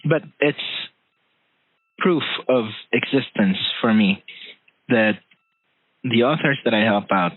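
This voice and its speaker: A man speaking earnestly and haltingly in a conversation, often pausing to search for his words. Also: medium pitch, slightly rough. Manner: hesitant, halting delivery. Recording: roomy, tinny phone-like call audio.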